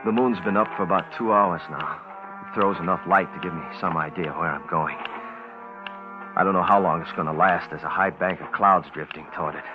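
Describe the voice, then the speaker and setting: A man's voice: low-pitched, lightly acted. Actor as a haunted stranded man, old-time radio. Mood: weary, brooding. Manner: halting, breathy, haunted, repeating himself. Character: stranded, frightened, exhausted man alone on a radioactive island. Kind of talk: 1950s radio drama acting, first-person suspense narration. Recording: dull vintage 1950s radio broadcast recording. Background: dramatic music.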